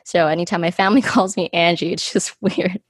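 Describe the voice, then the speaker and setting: A woman's voice: fairly low pitch, steady tone, a little fast, breathy edge, dry recording, studio quality. Chatty young woman hosting a podcast. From United States. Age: 20s. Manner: chatty, many ums and likes, thinking aloud. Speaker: podcast host. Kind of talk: casual chat.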